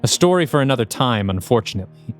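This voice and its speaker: A man's voice: medium pitch, very animated, quick pace, soft background music, natural voice. A man playing an ancient undead mummy villain in an audio drama, speaking in a menacing, grandiose way. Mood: coldly grandiose.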